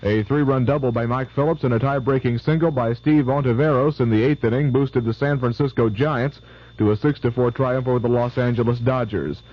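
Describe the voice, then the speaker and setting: Man, newscaster, mid pitch, brisk reading, dull old AM radio sound. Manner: brisk, even news delivery. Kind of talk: sports and weather news reading. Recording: lo-fi 1970s AM radio.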